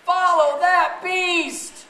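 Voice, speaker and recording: A man's voice: very high voice, steady tone, extremely slow, sharp tone. A man reading aloud and talking to a group of families in a theatrical, playful way, often putting questions to his listeners. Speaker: children's book reader and blogger. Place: large echoey indoor room at a school event. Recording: echoey noisy room, distant mic.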